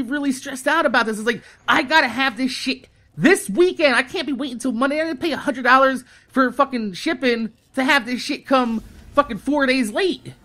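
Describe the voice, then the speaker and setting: A man in his thirties telling a casual, exasperated story with heavy swearing, in the animated manner of a gaming YouTuber. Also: extremely high pitch. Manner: animated, heavily profane, exasperated.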